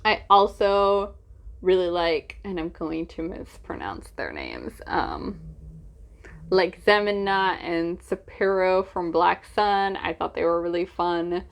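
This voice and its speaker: A woman's voice: fairly low pitch, animated, slow, creaky. A young woman chatting casually in a book vlog, with a gushing, giggly, self-deprecating manner. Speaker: book reviewer vlogger.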